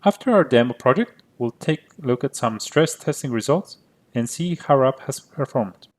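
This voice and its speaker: Greek Cypriot man, 30s, tech presenter; clear, methodical delivery at a middle pitch. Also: animated, moderate pace. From Cyprus. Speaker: conference presenter. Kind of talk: prepared conference talk.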